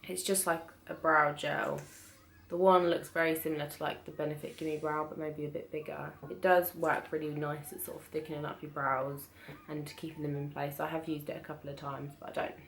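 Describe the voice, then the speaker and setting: A British woman with a croaky voice from being ill, chatting casually through a beauty haul with lots of hesitant restarts. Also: sits low, little pitch movement, fairly quick, a little hiss or hum. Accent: British English. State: croaky from illness. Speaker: beauty vlogger. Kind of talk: casual product haul chat.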